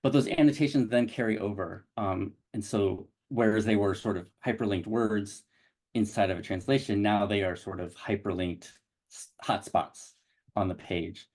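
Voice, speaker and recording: Man, somewhat low voice, halting delivery, video call presentation. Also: steady tone, darkish tone. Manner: halting, with many restarts and fillers. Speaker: digital project developer presenting a site.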